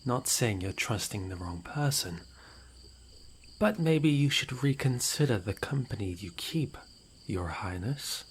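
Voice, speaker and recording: A man's voice: medium pitch, expressive, unhurried. A man playing a smug, nonchalant rogue informant in a fantasy audio roleplay, with a theatrical, mocking, playfully menacing way of talking. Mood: mocking, insinuating. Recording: noisy outdoor night ambience with crickets. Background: crickets and night insects.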